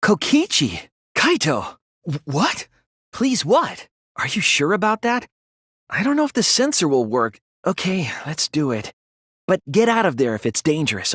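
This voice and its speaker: A man voicing an earnest, anxious, thoughtful teenage student detective in a video game, with a hesitant, soft, slightly husky delivery. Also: a little high, dry recording, clean studio sound, full character voice. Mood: urgent. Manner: hesitant, stammering when flustered, earnest. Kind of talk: English video game character voice acting.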